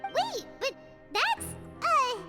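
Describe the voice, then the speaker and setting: A woman voicing a tiny childlike floating fairy companion in a video game, excitable and huffy, with a squeaky voice. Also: very slow, exaggerated voice. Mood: polite, hesitant. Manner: refers to self in third person, exclaiming. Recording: loud music underneath. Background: game music.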